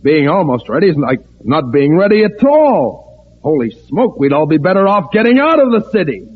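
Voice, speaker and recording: An actor playing a folksy, pushy newcomer who speaks haltingly and insistently in an old radio drama. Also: male voice, on the high side, very animated, slightly rough. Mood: agitated, insistent. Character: folksy, pushy newcomer man stirring up alarm at meeting. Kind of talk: 1940s-50s radio drama acting. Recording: tinny, band-limited old radio recording.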